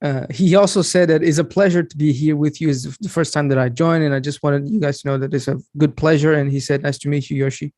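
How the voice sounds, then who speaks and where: A man's voice: a little high, steady tone, a little mellow, no echo. Casual interpreter man, dry close sound. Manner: relays lines quickly and casually, switching between Portuguese and English. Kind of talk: live interpreting on a call. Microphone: video call.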